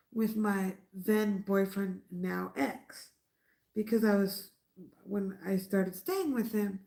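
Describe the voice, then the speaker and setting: Stuffy woman with a creaky voice. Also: medium pitch, darkish tone, low-quality recording. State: stuffy nose from a mild cold. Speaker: crochet channel host. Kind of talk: casual late-night livestream chat.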